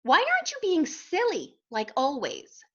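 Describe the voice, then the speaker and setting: A woman's voice: sits high, wildly swooping pitch, a little slow, pushed and bright, lo-fi sound, pitched above the performer's own voice, exaggerated voice. A woman voicing an exasperated, easily astonished male traveller from a children's storybook, exclaiming and questioning. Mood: baffled.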